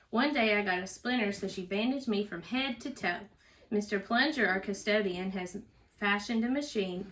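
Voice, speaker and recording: A woman reading a children's storybook aloud in an expressive, teacherly way, with chatty asides and questions to a young listener. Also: mid-range voice, low-quality recording. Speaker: parent reading a storybook aloud for a school read-aloud.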